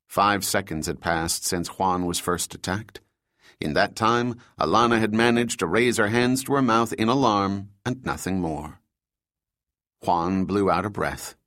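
A male narrator reading a thriller audiobook in a steady, even way. His voice sits low, and the sound is dry and close to the mic, with no room around it. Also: dry recording.

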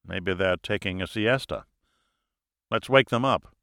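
Audiobook hero, voiced by a male narrator: easygoing American man, bright, deadpan, few words. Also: low voice, unhurried, gravelly, dry recording, studio quality, exaggerated voice. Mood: dry, uneasy. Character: laconic, casual, capable American husband and scientist.

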